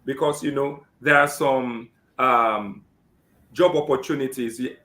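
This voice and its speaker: A Nigerian man hosting a live job seeker interview, often saying "great" and filling pauses with "um". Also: medium pitch, fairly even intonation, a bit bright, reverberant. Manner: keeps saying "great" and fills with "um".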